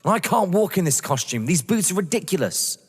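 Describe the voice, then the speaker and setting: A man's voice: sits high, big pitch swings, a little fast, slightly rough, full character voice. An actor playing a nervous, grumbling young man who is a reluctant amateur burglar in an audio drama. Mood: incredulous, grumbling. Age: young adult. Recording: long hall-like reverb, noisy.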